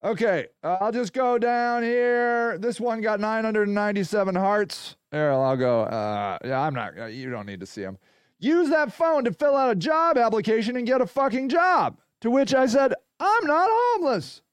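A man hosting a podcast in a loud, profane, ranting monologue. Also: high-pitched, very animated, moderate pace, clear, resonant tone, no echo. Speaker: podcast host. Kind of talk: casual monologue.

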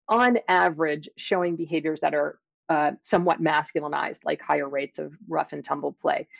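A woman's voice: low voice, vocal fry, no echo, lo-fi sound. A woman speaking as an expert guest in an interview, explaining science carefully and precisely with qualifications. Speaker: guest, evolutionary biologist and author.

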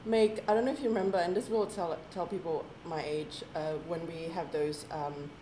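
Casting: Indonesian woman, 30s, American-sounding English, lowish voice, chatty guest, echoey room. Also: moderate pace, noisy background. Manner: chatty, full of fillers and lively retold quotes. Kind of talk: casual conversation.

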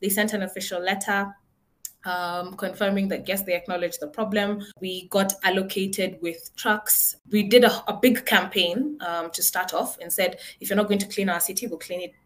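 Lively young woman telling a story. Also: medium pitch, moderate pace, poor audio. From Kenya. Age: 20s. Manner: animated, frequent small restarts. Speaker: guest. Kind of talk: conversational storytelling.

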